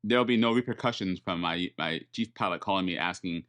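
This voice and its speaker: A man, an airline pilot, talking casually and explaining in detail, step by step, with halting phrasing. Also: deep voice, fairly bright tone, clean tone. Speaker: airline pilot, first officer.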